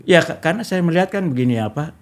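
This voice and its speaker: A man's voice: on the high side, lively intonation, rapid-fire. A man with an Indonesian accent hosting a casual interview, explaining his views at length and often checking for agreement. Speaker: talk show host.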